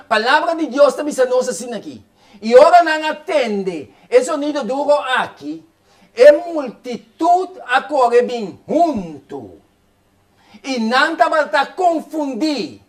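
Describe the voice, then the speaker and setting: A man with a notably high voice preaching with fervor. His sermon runs quick and insistent, circling back to the same phrases over and over. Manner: fervent, rapid preaching with repeated phrases.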